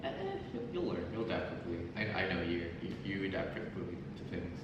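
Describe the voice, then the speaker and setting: Young man hosting, low voice, echoey. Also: wildly swooping pitch, quick pace, muffled recording. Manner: easygoing, prompting questions, filler restarts. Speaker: podcast host. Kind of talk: casual chat. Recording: echoey room, far from mic, noisy.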